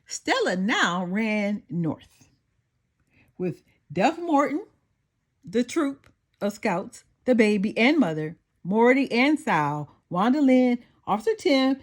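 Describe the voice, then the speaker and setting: Woman, high-pitched, animated intonation, children's picture book narration, expressive. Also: takes it slowly. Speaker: storyteller reading a children's picture book aloud. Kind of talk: animated scripted read-aloud narration for children.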